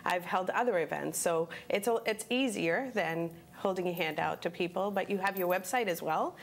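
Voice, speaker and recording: A woman's voice: on the low side, normal speed. A woman from the Dominican Republic, a guest on a TV talk show, chatting casually and laughing easily. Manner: laughs easily, switches into Spanish. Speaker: guest, fundraiser. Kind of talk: casual interview chat. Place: TV studio.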